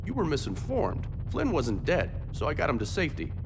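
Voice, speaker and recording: A brash rogue with lively, swinging delivery. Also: male voice, fairly high pitch, brisk, noisy background. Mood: cocky, breezy. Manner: cocky, casual, slangy. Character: brash, wisecracking male rogue rebel program. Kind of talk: dramatic video game dialogue. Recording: loud music over the voice. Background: loud music.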